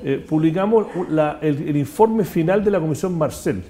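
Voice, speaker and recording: A man with a Chilean accent hosting a talk-show conversation, talking fast with an opinionated tone and many restarts. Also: fairly high pitch, mellow. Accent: Chilean Spanish. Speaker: host.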